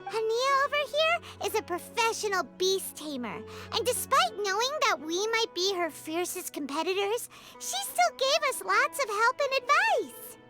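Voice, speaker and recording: Childlike fairy mascot, very high-pitched, animated, squeaky, chatty, video game, prominent background music. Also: female voice, moderate pace, few pauses. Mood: encouraging. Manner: perky, refers to self in third person. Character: small excitable childlike fairy companion, cheerful, chatty.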